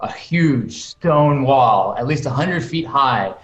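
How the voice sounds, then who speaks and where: A man's voice: mid-range voice, expressive, slow, fairly bright tone, lo-fi sound. A man reading scripted stage directions aloud with dramatic, emphatic storytelling. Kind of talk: scripted narration read aloud. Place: indoors, on a video call. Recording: roomy video call sound.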